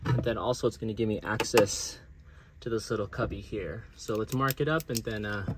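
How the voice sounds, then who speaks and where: A man's voice: fairly low pitch, fairly quick. Man, casual DIY talk, noisy recording. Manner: informal, thinking aloud. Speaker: DIY vlogger. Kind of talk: casual how-to narration. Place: outdoors in a residential driveway. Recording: clear background noise with clicks.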